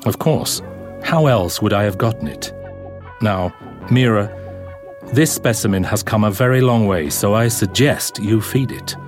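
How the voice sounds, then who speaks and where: An actor playing a cold, imperious head scientist who gives curt commands in an audio drama. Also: male voice, on the low side, a little slow, soft background music, close to an everyday voice. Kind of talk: full-cast audio drama acting.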